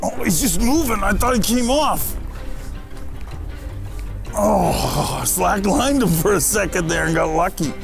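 A middle-aged man hosting a fishing show from a boat on a lake, jokey and quick to exclaim. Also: high voice, gravelly. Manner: excitable and jokey, exclaiming often. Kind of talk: excitable on-the-water fishing chat. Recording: music under the voice.

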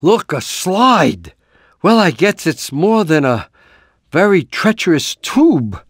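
Animated, fretful cartoon rooster dad. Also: male voice, fairly high pitch, takes it slowly, husky, no echo, studio quality, exaggerated voice. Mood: worried urging. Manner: hesitant, rambling, fatherly. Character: anxious, encouraging cartoon rooster dad, portly, middle-aged. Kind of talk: animated video game character lines.

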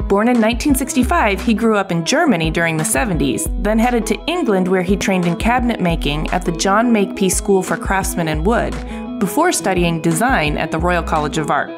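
A woman hosts a design interview podcast and sounds upbeat. She reads her introduction from a script and then moves into relaxed questions. Music plays clearly behind her voice. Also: mid-range voice, a little fast. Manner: upbeat, with easy laughter. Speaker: podcast host.

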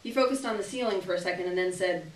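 A young woman gives an expressive, theatrical reading of a literary short story at a live event, with deliberate phrasing and dramatic pauses. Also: fairly low pitch, a little hiss or hum. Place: small indoor gallery reading event. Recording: roomy live venue, distant camera microphone.

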